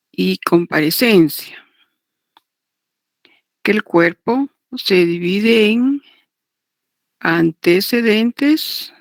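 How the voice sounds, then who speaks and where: A woman giving a didactic lecture, partly read aloud, in a deliberate, repetitive manner. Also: medium pitch, dry recording. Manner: deliberate, repetitive, checks understanding with tag questions. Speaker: law lecturer teaching notarial law.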